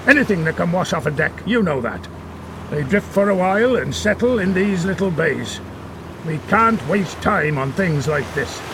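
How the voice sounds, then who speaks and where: A gravelly, scornful old-school ship's captain in a radio adventure. His voice sits high for a man and he barks brusquely over loud sea and engine noise. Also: expressive, normal speed. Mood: impatient. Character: gruff, impatient, weathered ship's captain. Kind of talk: 1930s-style radio adventure acting. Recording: noisy sea and boat engine ambience.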